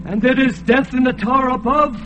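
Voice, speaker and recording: An actor playing an ancient, blind, tortured elephant-headed god in a radio drama, speaking slowly and mournfully. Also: male voice, very high-pitched, steady tone, highs cut off, over music. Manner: slow, anguished, mournful.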